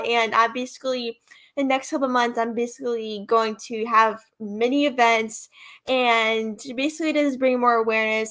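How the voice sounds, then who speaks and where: A woman's voice: a little high, fairly bright tone. A young woman co-hosting a podcast, talking casually and conversationally, often leaning on "like" and "basically". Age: young adult. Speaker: podcast co-host, organization founder.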